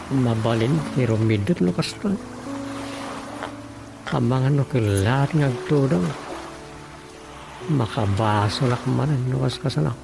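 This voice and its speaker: An actor with an Ilocano accent playing a grumbling village man in a radio comedy, muttering with drawn-out interjections. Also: medium pitch, vocal fry.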